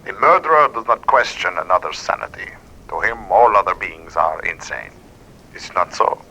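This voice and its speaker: A man's voice: very animated, moderate pace, vocal fry, sharp tone, exaggerated voice. An actor playing a sinister theatrical showman and blackmailer, formal and courtly in 1940s radio drama style. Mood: courtly menace. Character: sinister, silky, theatrical stage performer turned blackmailer. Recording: noisy old radio recording, rumble.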